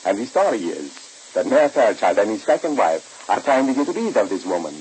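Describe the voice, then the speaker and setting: Shady smug male keeper, oily, measured, lively intonation, 1940s radio soap, hissy old recording. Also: lowish voice, poor audio. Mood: sly, amused. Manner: insinuating, measured, smugly amused.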